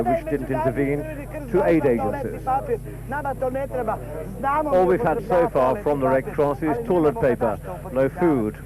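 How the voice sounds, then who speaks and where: A man's voice: mid-range voice, low-quality recording. A man narrating a scripted television news report.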